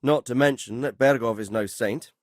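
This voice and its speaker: A man playing a measured, fatherly but stern middle-aged medieval lord in a video game, speaking formally and with authority. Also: medium pitch, no room sound, clean studio sound, close to an everyday voice. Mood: concerned. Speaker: lord giving orders and counsel. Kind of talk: dramatic video game dialogue.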